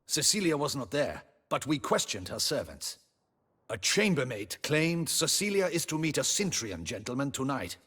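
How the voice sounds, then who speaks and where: A dutiful, brusque captain of the guard for a fantasy video game. His voice is husky and lively in pitch. He talks in formal, clipped, earnest sentences. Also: normal speed. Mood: earnest reporting.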